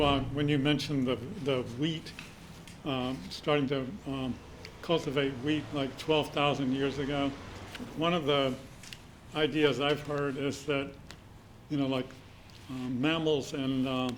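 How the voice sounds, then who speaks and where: A man in the audience talks in a mid-pitched voice, meandering and hesitant, with many fillers. Faint room noise sits under his voice. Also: little pitch movement, slow, rough recording. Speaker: audience member. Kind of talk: casual question from the audience. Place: community room.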